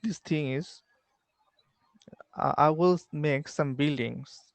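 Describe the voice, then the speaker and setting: Bangladeshi man, English learner on a video call, gravelly yet smooth, dry sound, hesitant and full of fillers. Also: on the high side, no room sound. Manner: halting, many fillers and restarts. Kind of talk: online English lesson conversation.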